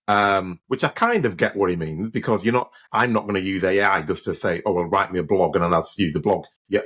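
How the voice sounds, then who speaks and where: A man's voice: sits low, a bit bright. A man hosting an interview, asking his questions casually with many stammered restarts. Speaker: podcast host.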